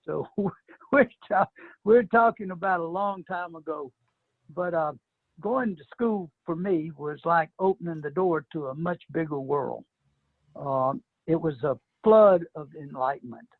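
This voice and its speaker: A man's voice: on the high side, a little slow, no echo, narrow, tinny audio. An older man from an immigrant family, telling a panel about his school days in a reflective, reminiscing way. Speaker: panel guest. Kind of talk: personal storytelling.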